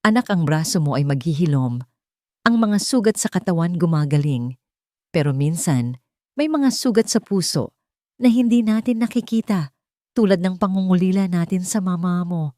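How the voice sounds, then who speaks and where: A woman voicing a gentle, wise stepmother in a drama story, speaking soothingly. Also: fairly low pitch, animated, moderate pace, dry recording, studio quality, close to an everyday voice. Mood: consoling. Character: gentle, consoling young stepmother, warm and wise.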